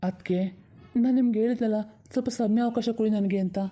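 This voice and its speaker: A woman voicing an earnest, protective young man in a cartoon story, speaking firmly and deliberately as he explains himself. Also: on the high side, dark tone, close to an everyday voice. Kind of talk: amateur cartoon story dialogue.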